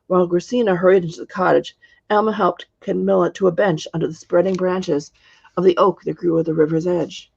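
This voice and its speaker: A woman narrates a storybook as its author. Her voice is gravelly and husky, captured in slightly muffled, lo-fi sound. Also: on the low side, fairly even intonation, fairly quick, darkish tone. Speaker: author reading own book.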